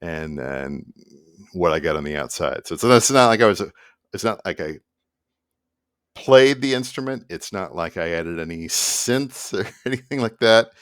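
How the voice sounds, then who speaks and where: A middle-aged man with a notably deep voice chats informally to the camera. He drifts between asides and restarts in a halting, unscripted explainer style. The sound is dry, with very little room echo. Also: a bit bright. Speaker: musician and vlogger. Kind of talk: casual rambling explainer to camera. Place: small indoor room at a desk.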